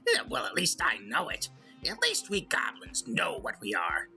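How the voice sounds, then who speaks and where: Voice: mid-range voice, wildly swooping pitch, moderate pace, bright, forceful tone, soft background music, exaggerated voice. An actor voicing a sly, crude male goblin who hosts a comedic radio call-in show, smirking and rambling. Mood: sly, defiant. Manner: smirking, self-justifying, rambling with drawn hesitations. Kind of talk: comedic in-character monologue and banter.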